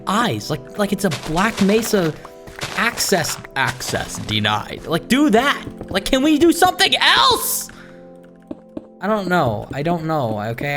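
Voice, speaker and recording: Gaming host just rambling away, voice swings up and down a lot, self-deprecating rants, bit of music in the background. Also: mid-range voice. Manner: animated, self-deprecating, digressive ranting. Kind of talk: casual rambling gameplay commentary.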